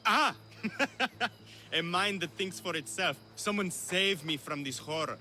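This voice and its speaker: An actor playing an eccentric, smug and witty Greek philosopher in a video game cutscene, playful and theatrical. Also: male voice, high-pitched, expressive, takes it slowly, sharp tone, slight background noise, exaggerated voice. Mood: amused. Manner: self-satisfied, playful, theatrical.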